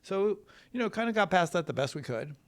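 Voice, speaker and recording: An American man from Wisconsin, a trial lawyer telling his story in a casual, rambling, folksy and halting way. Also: sits high, sing-song, creaky. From Wisconsin, United States.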